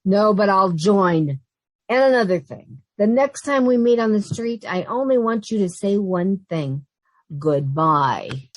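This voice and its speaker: A woman's voice: medium pitch, expressive, unhurried, no echo, rough recording. A woman playing a sensible, easily exasperated young working woman in an old-time radio comedy, speaking emphatically. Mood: indignant. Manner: emphatic, long-suffering.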